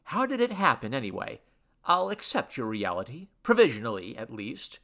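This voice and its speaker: A man's voice: medium pitch, big pitch swings, normal speed, vocal fry, sharp tone, full character voice. A man playing a nervous young college academic in a story, talking in a shaky, anxious babble. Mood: shaken, resigned.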